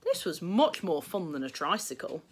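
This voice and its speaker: A middle-aged English woman reading a children's story aloud in expressive narration. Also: on the low side, moderate pace, husky. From England. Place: small book-lined room indoors.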